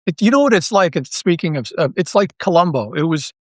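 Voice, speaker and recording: A man's voice: on the high side, lively intonation, fairly quick, dry recording, clean studio sound. A Canadian man chatting casually as an interview guest, often breaking off and repeating words as he tells his story. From Toronto, Canada. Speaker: podcast guest, audio drama writer.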